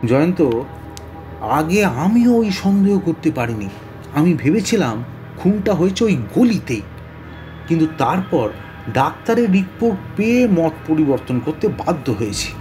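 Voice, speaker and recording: Baffled cop inspector, high voice, mellow tone, emphatic, audio drama. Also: male voice, big pitch swings, a little slow, soft background music. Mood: puzzled, earnest. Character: baffled, earnest male police inspector.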